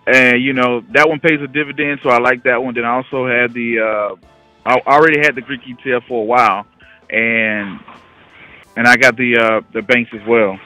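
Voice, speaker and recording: Bright-voiced man, very dry sound. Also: medium pitch, steady tone, normal speed, no room sound, faint music behind, poor audio. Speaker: guest investing educator on a conference call. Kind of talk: casual conversational talk.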